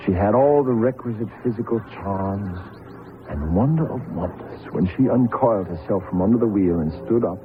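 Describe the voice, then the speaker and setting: A man's voice: fairly low pitch, natural voice. An actor playing a lonely, tormented young man in a radio drama, earnest and introspective. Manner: earnest, introspective, halting when pleading. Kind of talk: radio drama acting, first-person narration and dialogue. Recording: tinny old radio broadcast recording. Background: music.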